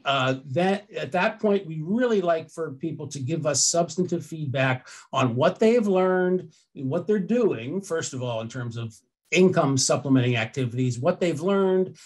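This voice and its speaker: A man's voice: fairly high pitch, expressive, unhurried. An older man with an American accent presents an explanatory webinar into a headset, conversational and often rephrasing himself as he goes.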